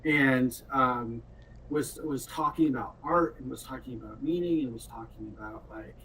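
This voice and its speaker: A man chatting casually as a game developer in an interview, in an animated, halting and jokey way. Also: medium pitch. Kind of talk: casual chat. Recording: distant mic, faint room noise.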